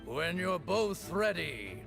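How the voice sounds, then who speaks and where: A man voicing a formal officiant of a ritual duel in a video game, announcing in a deliberate, ceremonial way. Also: high voice, sing-song, creaky, music in the background, exaggerated voice.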